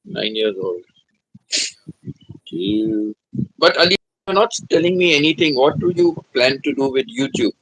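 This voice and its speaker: A man in his seventies hosting a live stream, chatting gently and repeating himself, humming between topics. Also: on the high side, expressive, unhurried, pauses often, dry recording, low-quality recording. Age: 70s. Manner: hums to himself between chats. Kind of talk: casual live-stream chat.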